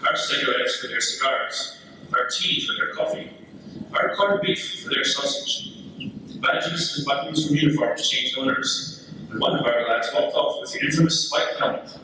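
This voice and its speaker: A man's voice: medium pitch, moderate pace, sharp tone. A man playing a thoughtful British soldier telling his story in a letter home, performed as readers theater. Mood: storytelling. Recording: very echoey room, distant mic, faint noise.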